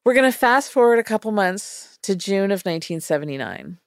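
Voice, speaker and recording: A woman telling a story to her co-host in easy, casual chat. She keeps it lively with playful asides. Her voice is mid-pitched and sits dry and close to the mic. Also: brisk, dry recording. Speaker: podcast host.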